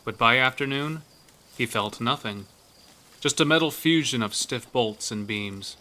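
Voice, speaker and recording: A man's voice: medium pitch, unhurried, sharp tone. A man narrating a literary audio story from a script, as narrator.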